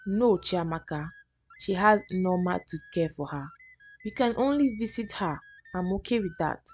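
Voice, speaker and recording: A woman's voice: lowish voice, lively intonation, normal speed, darkish tone, husky. A woman storyteller voicing a stern young husband in a folktale, with a firm, commanding manner. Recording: music behind the voice.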